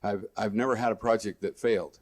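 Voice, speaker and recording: Plainspoken American man, folksy and halting. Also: on the low side, steady tone, darkish tone. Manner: plainspoken, folksy, repeats and restarts phrases often. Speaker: guest, local political candidate. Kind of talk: unscripted radio interview answers.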